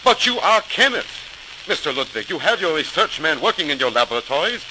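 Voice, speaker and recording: An actor playing a distraught Swiss vineyard owner who pleads emphatically in 1940s radio drama style. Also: male voice, fairly high pitch, sing-song, brisk. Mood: desperate. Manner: emphatic, repetitive, foreign-flavored phrasing. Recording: noisy crackling old radio recording.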